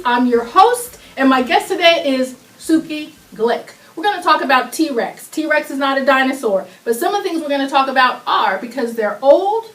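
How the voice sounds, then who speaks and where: Upbeat female host with a high voice, heard a little off mic in an echoey room. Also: fairly bright tone, far from the mic. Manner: upbeat, chatty, laughs with guest. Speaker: talk show host. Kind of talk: studio talk show interview. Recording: roomy echo, voice from off mic.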